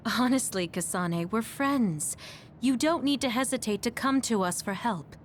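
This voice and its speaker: A woman's voice: on the high side, animated, unhurried, a little hiss or hum. Frank, protective young woman. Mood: reassuring. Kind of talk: English anime game dub acting.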